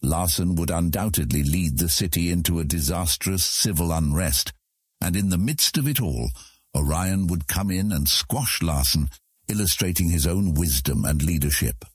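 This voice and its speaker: A man with a husky voice reading scripted fantasy narration as an audiobook narrator. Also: sits low, normal speed, no echo, studio quality.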